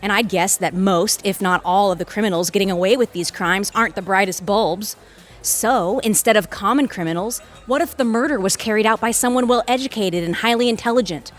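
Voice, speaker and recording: A woman's voice: mid-range voice, a little fast, faint music behind, natural voice. A woman playing an arrogant, calculating lawyer in an audio drama, articulate, condescending and self-assured. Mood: smug, calculating. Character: arrogant, calculating Harvard-trained female lawyer.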